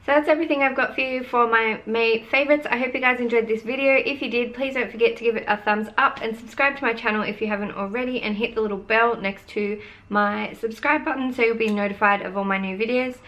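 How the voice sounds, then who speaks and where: A young woman with a hoarse, fading voice, chatting eagerly through her beauty favourites as a vlogger. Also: a little high, steady tone, quick pace, a bit bright, lo-fi sound. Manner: chatty and gushing, showing products to camera. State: losing her voice. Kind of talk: casual product review vlog.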